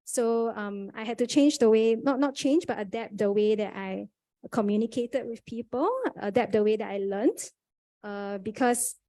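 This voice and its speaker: Singaporean woman, mellow earnest voice. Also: fairly high pitch, steady tone, normal speed. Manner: earnest, many fillers and restarts. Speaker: panel speaker. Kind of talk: conference panel talk.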